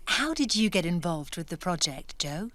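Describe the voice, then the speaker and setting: A woman's voice: on the low side, very animated, moderate pace, a bit bright, no echo. A woman playing an articulate, confident actress at an audition, polite but pointedly questioning, in naturalistic audio drama. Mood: probing. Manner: polite, hesitant fillers, then pointedly questioning.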